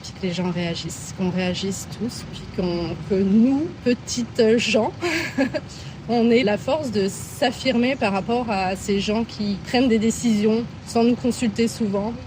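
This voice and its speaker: A woman's voice: mid-range voice, unhurried. Woman, thoughtful and hesitant. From France. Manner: thoughtful, hesitant, laughs often. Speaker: interviewee, passer-by. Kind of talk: casual street interview. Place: outdoor city park. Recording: outdoor park noise, traffic.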